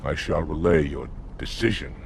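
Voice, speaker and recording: A man voicing a stern, dutiful alien military commander in a video game, formal and deep-voiced over music. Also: lively intonation, normal speed, noisy background, full character voice. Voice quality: deep, creaky. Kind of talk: video game cutscene acting.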